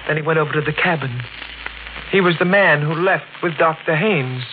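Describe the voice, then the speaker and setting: An actress playing a secretive private nurse in an old radio mystery, deliberate and conspiratorial in an urgent way. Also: female voice, low-pitched, phone-quality sound, slight background noise, natural voice. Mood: urgent, controlled. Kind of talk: 1940s radio mystery acting. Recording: thin old radio broadcast with music.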